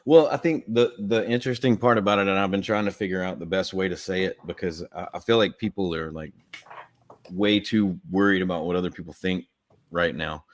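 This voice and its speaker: A man's voice: low-pitched, fairly quick. A man, chatting casually and rambling on a livestream about a comic he co-created. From Southern US. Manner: rambling, heavy use of "like".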